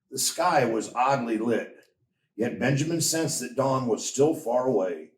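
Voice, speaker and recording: A man narrates a storybook tale with slow, measured expression. His voice sits at an ordinary male pitch and comes from a little way off, with the room echoing around it. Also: darkish tone. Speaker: story reader. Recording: roomy echo, distant from mic.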